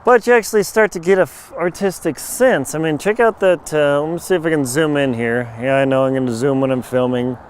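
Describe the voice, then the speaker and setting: A middle-aged man, a bit hoarse, chatting wryly to a handheld camera outdoors at a farm, with jokey asides. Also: a little high, a little fast, few pauses, a little hiss or hum. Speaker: travel vlogger. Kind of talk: casual handheld travel vlog. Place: outdoors on a rural farm lot. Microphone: handheld camera mic at arm's length.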